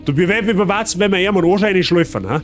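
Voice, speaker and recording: A man's voice: high-pitched, animated, racing, faint music behind. A man with a Bavarian accent giving casual, chatty and playful live gaming commentary in colloquial speech. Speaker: streamer.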